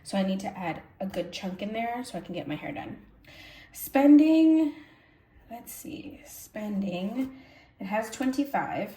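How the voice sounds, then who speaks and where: A woman's voice: medium pitch, sing-song, measured pace, dark tone. Sniffly congested lady doing her cash budget, chatty, fixes herself mid sentence, echoey room. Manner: chatty, self-correcting, thinks aloud while counting. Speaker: budgeting channel host. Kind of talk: casual cash-stuffing walkthrough. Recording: echoey room, faint noise.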